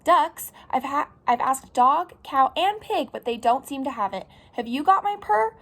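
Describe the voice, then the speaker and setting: A woman's voice: sits high, animated, unhurried, a bit bright, exaggerated voice. A woman voicing a sad but determined little kitten in a storybook, asking earnest, pleading questions. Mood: earnest, questioning. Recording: clear background noise outdoors.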